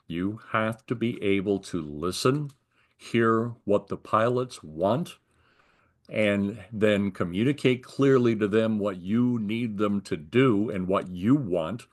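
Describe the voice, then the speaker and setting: Older man, American accent, lowish pitch, explanatory, detailed, conversational interview delivery. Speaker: retired air traffic controller being interviewed.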